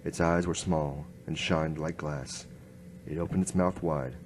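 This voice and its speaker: Low male voice, grim noir detective acted by a man, deadpan narration, radio drama, slight background noise. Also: unhurried. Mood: tense, suspenseful. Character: hard-boiled male noir inspector, terse and grim, narrating his case. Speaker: narrator and lead actor.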